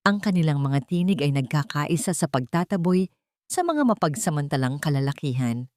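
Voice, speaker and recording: A woman narrating a dramatic romance story in an earnest, sentimental, measured way. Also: sits low, darkish tone, dry recording, clean studio sound. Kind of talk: dramatic scripted narration of a Tagalog love story.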